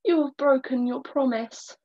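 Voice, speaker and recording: Woman voicing a gentle heartbroken caterpillar girl. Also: high-pitched, a little slow. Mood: reproachful. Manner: gentle, reproachful. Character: tender young female caterpillar, heartbroken. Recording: dull lo-fi recording.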